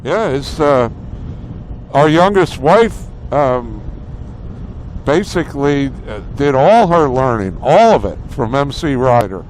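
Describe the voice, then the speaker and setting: A middle-aged man co-hosting a motorcycle vlog, chatting casually in a halting, plain-spoken way over a helmet intercom. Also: medium pitch, sing-song, darkish tone, low-quality recording. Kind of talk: casual chat while riding. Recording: faint road and engine noise.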